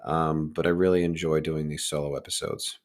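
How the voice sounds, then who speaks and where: A middle-aged man hosting a casual solo podcast, with a raspy, hoarse voice and a candid, halting, informal delivery. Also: very deep voice, level tone. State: hoarse, recovering from lost voice. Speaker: podcast host.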